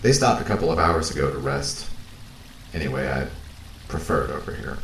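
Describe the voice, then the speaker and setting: A man playing a calm, literal-minded, caring space crewmate in an audio drama, measured and deadpan. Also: very deep voice, vocal fry, full character voice. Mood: matter-of-fact. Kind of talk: naturalistic audio drama acting. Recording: roomy echo, faint background noise.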